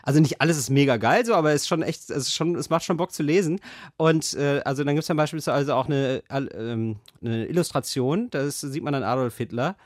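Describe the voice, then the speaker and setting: Podcast co-host in his 30s, chatty, lots of ähms, bit high pitched, dead dry room. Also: fairly quick, no room sound. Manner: chatty storyteller, many fillers and restarts. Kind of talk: casual chat, retelling a book.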